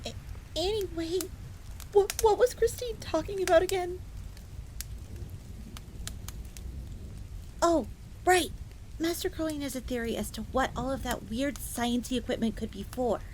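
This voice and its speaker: A woman's voice: high-pitched, moderate pace, darkish tone, close to an everyday voice. A woman playing a chatty, deferential fox maid who talks about herself in the third person in a fantasy audio roleplay. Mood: flustered, stammering. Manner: refers to self in third person, informal, explanatory. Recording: clear background noise, crackling fire ambience.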